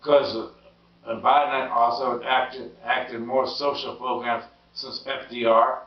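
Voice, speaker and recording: A hoarse man who stammers and repeats words, giving an emphatic casual political monologue. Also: level tone, takes it slowly. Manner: emphatic, rising pitch when indignant. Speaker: political commentary vlogger. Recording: roomy distant lo-fi sound.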